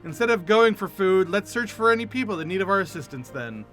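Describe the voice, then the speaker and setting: A man's voice: sits high, big pitch swings, brisk, fairly bright tone, faint music behind. A man voicing an eager, chatty young food-loving carpenter apprentice in theatrical, effusive game dialogue read aloud. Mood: flustered.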